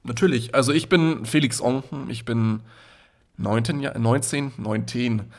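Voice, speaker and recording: A man's voice: medium pitch, measured pace. A nineteen-year-old man from Germany, co-hosting a podcast in a rambling, joking casual chat. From Nuremberg, Germany. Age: young adult, 19. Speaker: podcast co-host.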